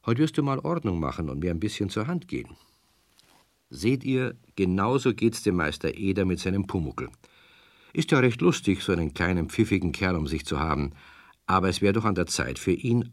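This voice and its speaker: A man's voice: low voice, dry recording, studio quality, heavily acted. A man playing an avuncular narrator of a children's radio tale, addressing young listeners directly in a storytelling style.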